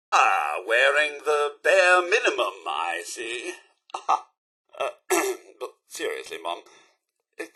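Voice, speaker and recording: Game robot butler: British, prim and sarcastic, raspy edge, swooping theatrical delivery. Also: male voice, on the high side, pushed and bright, heavily acted. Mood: flustered, embarrassed. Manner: theatrical, polite, primly disapproving butler diction. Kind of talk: video game companion voice lines.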